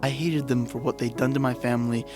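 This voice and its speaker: A man's voice: mid-range voice, fairly even intonation, fast talker, music in the background, lightly acted. An actor playing an intense, suspicious, earnest teenage boy in an audio drama, brooding and sometimes hesitant. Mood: brooding, determined. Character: earnest, suspicious, obsessive teenage boy missing his mother. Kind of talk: audio drama acting with inner monologue.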